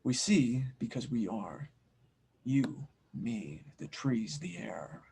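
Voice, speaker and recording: A man playing a wise, serene blacksmith mystic in an audio drama, speaking softly in slow, cryptic phrases. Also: medium pitch, big pitch swings, creaky, a little hiss or hum, full character voice. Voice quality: soft, hushed. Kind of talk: fan audio drama table read.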